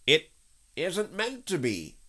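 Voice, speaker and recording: A man's voice: on the high side, huge pitch swings, takes it slowly, bright, forceful tone, voice pushed up, heavily acted. A man voicing a fussy, evasive rabbit from a children's storybook, with a prim and emphatic way of speaking. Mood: sly, evasive. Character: evasive, fussy male rabbit hiding in his burrow.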